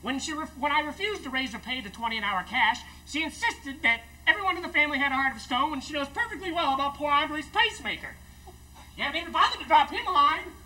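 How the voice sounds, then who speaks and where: Haughty, melodramatic older mother male performer for the stage, very high, sweeping indignant pitch. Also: expressive, fast, sharp tone, distant mic, slight background noise, exaggerated voice, poor audio. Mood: haughty, wounded. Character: imperious, wounded, self-pitying older mother of the groom. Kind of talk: theatrical stage monologue.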